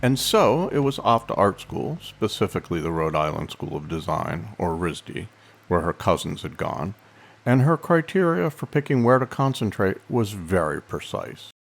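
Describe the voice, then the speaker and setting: A man hosting a podcast, reading scripted narration in a measured, admiring way. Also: low voice, very animated, gravelly. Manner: warm, admiring, measured delivery. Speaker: podcast host.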